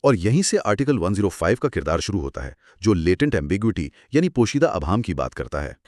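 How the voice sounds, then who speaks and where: A man's voice: low voice, very animated, a little fast, a little mellow, no echo, studio quality. A man co-presenting a lecture as a scripted two-person dialogue, explaining in a didactic way.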